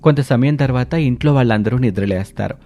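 A man narrates a family cartoon story with steady, plain pacing. His voice is mellow and dark, with faint music playing underneath. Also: medium pitch, fast.

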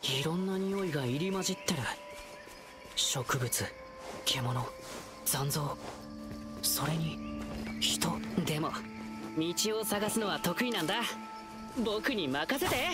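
A performer voicing a cheerful, confident young male hero in a game dub, with a lively, reassuring way of talking. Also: medium pitch, takes it slowly, pauses often. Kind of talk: Japanese game dub acting. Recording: game audio with loud music.

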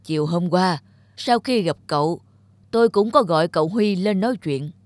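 Woman voicing a shrewd, measured male cartoon boss. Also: fairly low pitch, expressive, no echo, natural voice. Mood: firm, serious. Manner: measured, authoritative, insinuating. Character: shrewd, calculating male factory director testing his staff. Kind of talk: cartoon voice acting.

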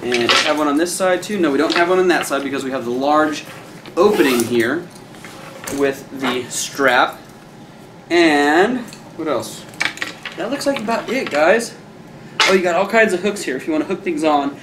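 Man, 30s, enthusiastic chatty reviewer, a bit high-pitched, echoey small room. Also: moderate pace, long pauses, background noise. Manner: enthusiastic, chatty, addresses viewers as guys. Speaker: product reviewer. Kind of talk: casual unboxing review. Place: small indoor room with a decorated Halloween backdrop.

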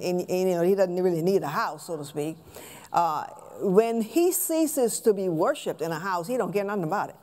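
A woman's voice: lowish voice, darkish tone. Older American woman teaching a Bible class. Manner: halting, conversational, rhetorical questions. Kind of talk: teaching sermon, explaining scripture. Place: church sanctuary stage, at a pulpit.

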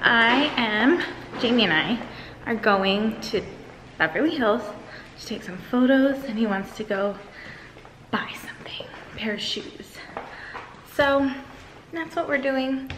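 A woman's voice: on the high side, lively intonation, slow, pauses often, a bit bright, a little hiss or hum, poor audio. Chatty young woman vlogging at arm's length. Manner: chatty, filler-heavy, upbeat. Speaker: lifestyle vlogger. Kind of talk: casual vlog chat to camera. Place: home and city street, indoors and out. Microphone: handheld camera at arm's length.

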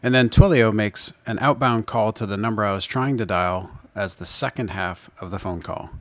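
A man presenting a software demo in an unscripted screencast, explaining step by step in a measured way. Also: lowish voice, no room sound. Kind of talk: screencast tutorial narration, unscripted.

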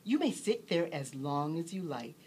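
Lady doing a posh-mannered sly fox gentleman from a storybook, super polite and emphatic, low and swoopy, bit of noise. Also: expressive, normal speed. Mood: courteous, reassuring. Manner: courtly, genteel, emphatic. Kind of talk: storybook character reading.

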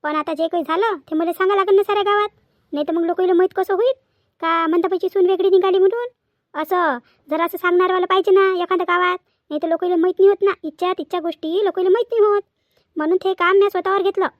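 Cartoon village busybody played by a woman, extremely high-pitched, dry sound, chatty tattling manner, Varhadi Marathi accent. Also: steady tone, fast, dry recording, highs cut off. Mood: gleefully confiding. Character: nosy gossiping village woman, gleefully judgmental. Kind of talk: cartoon family drama monologue.

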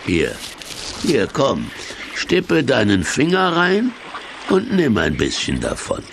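An actor playing a well-meaning, placating villain's sidekick in a children's radio play, coaxing and reassuring. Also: male voice, mid-range voice, wildly swooping pitch, slow, vocal fry, background noise, full character voice.

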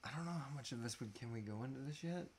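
A man in his thirties hosting a casual live question-and-answer chat, often halting and trailing off mid-thought. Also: medium pitch, breathy edge. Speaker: host answering audience questions. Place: small indoor room.

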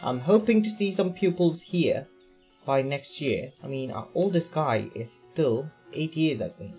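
A man's voice: fairly high pitch, expressive, a little slow, darkish tone, soft background music. A man with a tired, failing voice gives casual, rambling commentary on his city-building game, full of fillers and questions to himself. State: voice going hoarse. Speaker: let's play gamer.

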